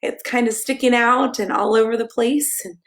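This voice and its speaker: A woman's voice: a little high, fairly even intonation, normal speed. A middle-aged American woman, a pastor, reading to children in a playful, sing-song way and chatting directly with them. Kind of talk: children's story time, expressive read-aloud and casual chat.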